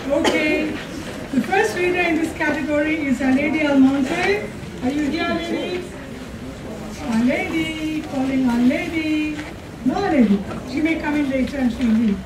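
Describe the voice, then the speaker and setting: A woman's voice: high-pitched, normal speed, a crowd in the background. A middle-aged woman informally announcing readers as host of a contest. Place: college classroom, at a podium. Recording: echoey, distant, very noisy room.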